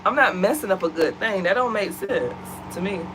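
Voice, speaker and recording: An American woman talks with her viewers from her couch at home, animated and chatty, warmly calling them y'all. Her voice sits in a middle pitch. A faint hiss runs under the recording. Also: normal speed, low-quality recording. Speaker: vlog co-host. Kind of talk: casual chat to viewers. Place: at home, seated.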